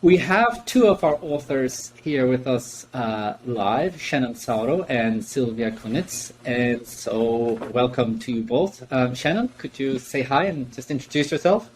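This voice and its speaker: Brief: man hosting a video-call panel, mid pitch, chatty with many restarts, faint hiss. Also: animated, unhurried, few pauses. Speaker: host and book editor. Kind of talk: casual online book launch hosting.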